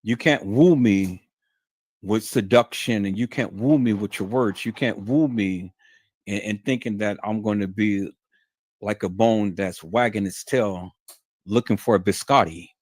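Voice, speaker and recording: A man's voice: lowish voice, measured pace, darkish tone, no echo. A man hosting a livestream, talking in a stern, emphatic, lecturing way to his viewers. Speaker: livestream host. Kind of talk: unscripted livestream monologue.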